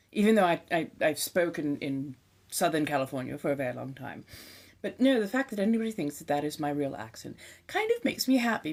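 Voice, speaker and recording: A woman with a put-on 1700s colonial transatlantic accent, chatting with viewers on a live stream in a theatrical vampire persona, with ornate wording and calling people darling. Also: mid-range voice.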